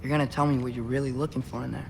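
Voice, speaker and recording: A young man playing an earnest, nervous but determined teenage boy in a video game, talking in a hurried way. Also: mid-range voice, little pitch movement, creaky, long reverb tail, background noise, close to an everyday voice. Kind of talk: dramatic video game cutscene acting.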